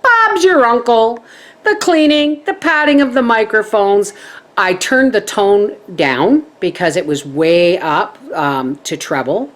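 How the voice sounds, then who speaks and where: A middle-aged woman chatting to her viewers as a homestead vlogger, telling stories in a lively way. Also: mid-range voice, slow pace. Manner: chatty, animated storytelling. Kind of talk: casual chat to viewers.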